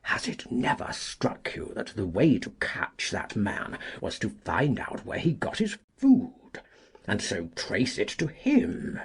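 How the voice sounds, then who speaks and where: Husky, cantankerous old country gentleman. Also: lowish voice, huge pitch swings, slow, exaggerated voice. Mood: sly, boastful. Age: older. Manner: pompous, theatrical, indignant. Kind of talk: dramatised classic audiobook acting.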